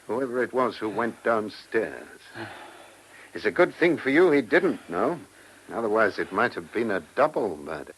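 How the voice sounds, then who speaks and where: An actor in a vintage radio play as a police chief inspector, questioning insistently and giving orders with authority. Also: male voice, on the low side, very animated, normal speed, a bit bright, husky, full character voice, low-quality recording. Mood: businesslike. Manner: insistent, probing questioning, commanding. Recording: old radio recording with faint noise.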